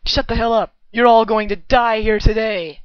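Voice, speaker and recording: Casting: angry-tempered cartoon demon prince, played by a woman, highish voice, dry muffled mic. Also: steady tone, moderate pace, clear, resonant tone, no echo, lightly acted. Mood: angry, threatening. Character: irritable male demon prince, hot-tempered big brother. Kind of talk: amateur anime parody dub. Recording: dull close mic, breath pops.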